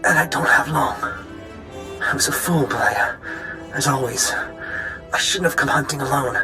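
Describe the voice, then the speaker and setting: Weary dying husband, echoing. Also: male voice, mid-range voice. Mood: regretful, tender. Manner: halting, tender farewell. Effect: holographic recording. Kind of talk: dramatic video game acting. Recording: echoing holo message, music behind.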